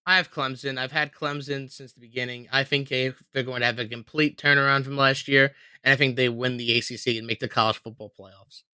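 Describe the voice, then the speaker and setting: A man's voice: mid-range voice, fairly even intonation, fairly quick, few pauses, bright, forceful tone, smooth, no room sound. A man co-hosting a sports podcast, giving blunt, confident opinions in casual talk. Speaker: podcast co-host.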